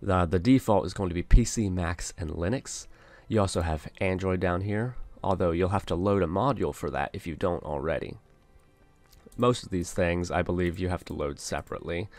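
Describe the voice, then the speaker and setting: A man narrating a casual software tutorial, explaining things step by step as an instructor. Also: sits low, normal speed, no room sound.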